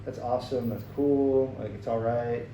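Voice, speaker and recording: An American man with a dark, warm tone answers interview questions in an easy, plain-spoken way, leaning on "like" as he thinks. He is heard from across an echoey room, with a faint hiss underneath. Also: medium pitch, moderate pace. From United States. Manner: relaxed, plain-spoken, many "like" fillers. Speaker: teacher being interviewed. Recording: roomy, distant and slightly noisy.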